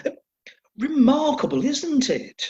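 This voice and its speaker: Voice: high-pitched, sing-song, slow, darkish tone, heavily acted. A performer playing a polite, hesitant character in an amateur comic radio play over a muffled video call. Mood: politely confiding. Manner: hesitant, courteous. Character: polite, hesitant relative consulting the doctors.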